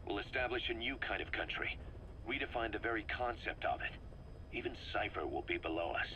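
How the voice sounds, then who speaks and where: An actor voicing a fervent, embittered mercenary second-in-command in a video game, briefing his boss in an emphatic, persuasive way. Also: sharp tone. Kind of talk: video game dramatic dialogue. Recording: noisy cassette briefing with background rumble.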